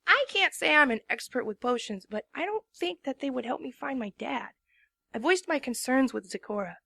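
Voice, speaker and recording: A woman's voice: high voice, animated, moderate pace, creaky, sharp tone, dry recording, heavily acted. Worn-out young pegasus girl voiced by a woman telling her tale, drowsy and plain-spoken. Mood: tired. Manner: first-person storytelling, drowsy. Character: tired tomboyish young female pegasus narrating her story. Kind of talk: first-person narration in a fan dramatic reading.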